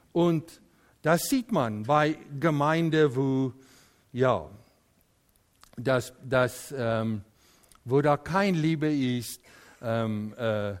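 Slow Afrikaans-accented preacher, lively pitch. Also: male voice. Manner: halting, searching for German words. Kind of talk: sermon.

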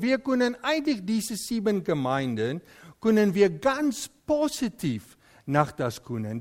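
A man preaching a sermon in German with an Afrikaans accent, Afrikaans being his first language, often pausing and searching for words. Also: high voice.

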